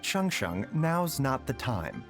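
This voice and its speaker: A man voicing a courteous, composed young doctor in an anime game, soft and light in voice, with polite, measured phrasing. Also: mid-range voice, big pitch swings, faint music behind, exaggerated voice. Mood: quietly firm.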